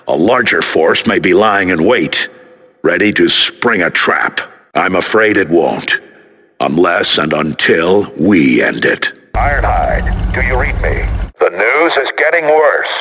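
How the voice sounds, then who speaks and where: A giant heroic robot leader from a video game. He speaks slowly and solemnly, with a forceful, husky edge and resolute authority. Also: low voice, lively intonation, full character voice. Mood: urgent, commanding. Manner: solemn, commanding, measured.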